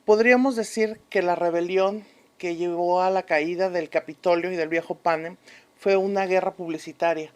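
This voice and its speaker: A woman's voice: fairly low pitch, steady tone, moderate pace, close to an everyday voice. A woman playing a learned, earnest historian who explains things formally as a guest in a radio drama. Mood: assured, earnest. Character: learned, earnest female historian, a convinced researcher. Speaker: expert guest on a history programme.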